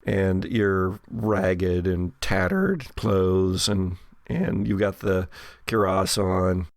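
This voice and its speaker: A man working as game master, improvising descriptive horror narration for a tabletop role-playing game in a halting storyteller's way. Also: low-pitched, no echo, studio quality. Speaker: game master (the Keeper) of an actual play podcast.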